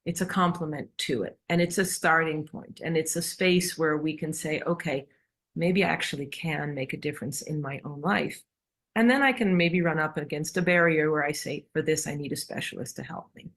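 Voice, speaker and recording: A woman's voice: low voice, steady tone, moderate pace, a bit bright. A middle-aged American woman from New York speaking as an interview guest, explaining her work in a direct, emphatic, conversational way. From New York, United States. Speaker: interview guest, community therapist.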